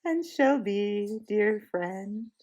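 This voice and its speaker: Sweet hushed witch queen done by a woman reading aloud, coaxing, a little mocking, slow and soft. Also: a little high, expressive. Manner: soft, sweet, quiet and musical. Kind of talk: storybook reading.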